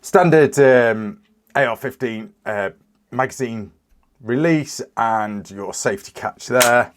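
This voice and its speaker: A British man in his thirties, a little croaky from a cold, casually reviewing a rifle with many fillers and restarts. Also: mid-range voice, slow. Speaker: firearms reviewer.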